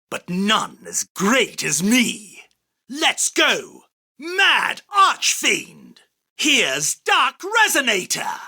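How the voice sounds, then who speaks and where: Arrogant anime duelist king, boastful, husky and bright-edged, slow grand declamation, game battle lines. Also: high voice, exaggerated voice. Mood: grand, commanding. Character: arrogant, theatrical self-proclaimed king of card duels. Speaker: voice actor.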